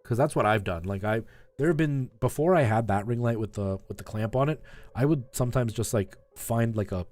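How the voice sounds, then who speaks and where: A man hosts a live game stream in an informal, conversational way. His voice sits at an average male pitch, and he rambles through tips and asides for his viewers. Music plays behind him. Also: brisk, darkish tone. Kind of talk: casual live stream chat while playing a retro game, giving tips to chat.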